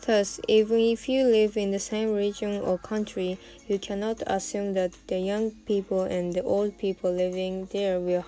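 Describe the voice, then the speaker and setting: Young woman, mellow voice, careful measured reading, faint music underneath. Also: medium pitch, low-quality recording. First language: Japanese. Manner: careful, measured reading with non-native phrasing. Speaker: student presenter. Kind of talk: reading a prepared presentation. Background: faint background music.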